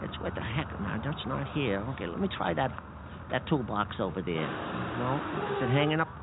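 A man's voice: medium pitch, brisk, creaky. A man voicing a frantic, stammering male kidney bean who runs a garage, in a comedic audio drama. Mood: searching, grumbling. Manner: stammering, excitable. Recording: phone-like lo-fi with noise.

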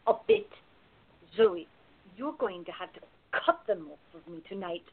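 This is an actress playing a protective, wry woman in a radio play. Her voice is husky and mid-pitched, with a dry, grumbling wit. It comes through thin, like a phone line. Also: very animated, unhurried, exaggerated voice. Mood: mock grumbling. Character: Ava, tall wry protective woman in love. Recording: narrow phone-like sound.

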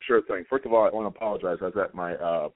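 A hoarse man calling in as a guest on a radio show, talking haltingly with a lot of fillers. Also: low-pitched, steady tone, no room sound. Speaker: prep school guest. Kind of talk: phone interview. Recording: dry, tinny phone line.

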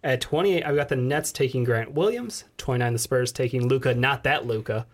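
A man's voice: medium pitch, fairly quick. A man co-hosting a casual sports podcast, talking in a loose, slangy, rambling way. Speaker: podcast co-host.

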